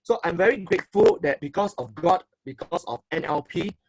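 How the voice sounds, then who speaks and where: A man's voice: on the high side, very animated, a little fast, creaky, a little hiss or hum. A middle-aged man from Singapore with a Singaporean English accent, giving an online seminar talk as a motivational speaker and coach. He speaks emphatically, repeats phrases and often ends sentences with "okay?". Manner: emphatic, repeats phrases, asks for likes and applause.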